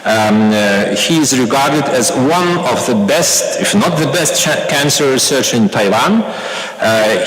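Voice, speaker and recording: A man's voice: mid-range voice, a bit bright. Male introducer, formal and read-out delivery, echoey hall. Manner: formal, reading a biography.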